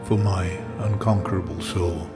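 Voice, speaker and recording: Low-voiced man reciting verse under loud music. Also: fairly even intonation. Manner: solemn, deliberate. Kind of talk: solemn poetry recitation.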